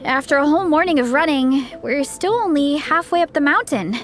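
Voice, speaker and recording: High, perky young team leader. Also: female voice, expressive, brisk, soft background music. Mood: weary, chatty. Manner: peppy, organizing. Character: perky, encouraging young woman leading a training group. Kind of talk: English anime-style video game dub.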